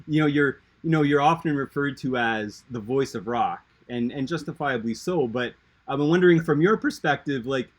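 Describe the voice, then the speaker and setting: A man's voice: medium pitch, moderate pace. A man hosting an interview, asking long rambling questions full of fillers and restarts. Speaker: interview host. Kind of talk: casual interview talk.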